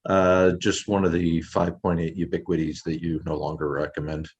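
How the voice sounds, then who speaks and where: A man calling in to a video meeting with a question, hesitant with many pauses. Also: low-pitched, level tone, darkish tone, breathy edge, highs cut off. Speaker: caller with a question. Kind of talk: casual talk on a video call.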